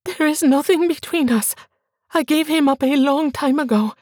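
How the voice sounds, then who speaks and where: A woman's voice: normal speed, darkish tone, dry recording, studio quality, lightly acted. Woman doing a frightened, pleading young woman. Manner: high, strained, tearful pleading.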